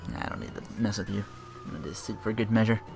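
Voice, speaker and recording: A man's voice: lowish voice, very fast, gravelly. A man giving casual gameplay commentary, rambling and correcting himself, with some swearing. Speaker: let's player, host. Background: faint game music.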